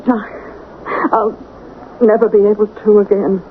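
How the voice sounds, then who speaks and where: An actress playing a distressed, conscience-torn wife in a radio drama, hesitant and dramatic in delivery. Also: female voice, medium pitch, level tone, slow pace, close to an everyday voice. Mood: tormented, wavering. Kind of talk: 1970s radio drama acting. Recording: tinny, noisy old radio broadcast.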